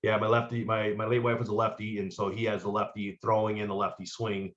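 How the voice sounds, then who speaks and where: A man, a former pitcher and coach chatting casually in an interview, rambling with lots of fillers and restarts. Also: little pitch movement, fast, a bit bright. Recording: lo-fi muffled recording.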